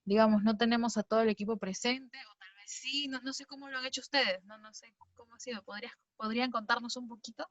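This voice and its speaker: A dull, dry call recording carries a woman with a fairly high voice. She is a casual interviewer who feels her way politely into each question, rewording it tentatively. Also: fairly even intonation, fast, few pauses, no room sound. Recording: dull lo-fi online call audio.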